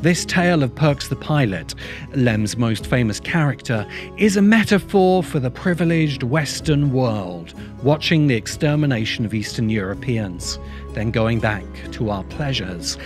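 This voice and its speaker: A middle-aged man presents a scripted video essay in a medium-pitched voice. His intonation moves widely, and he leans on key words with deliberate pauses. He is close to the mic, with music underneath. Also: animated. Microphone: close desk microphone.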